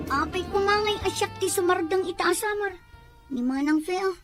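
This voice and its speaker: A woman's voice: very high voice, moderate pace, music in the background. A woman playing a young Filipino student daughter in a radio drama, pleading plaintively with an Ilocano accent. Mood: anxious.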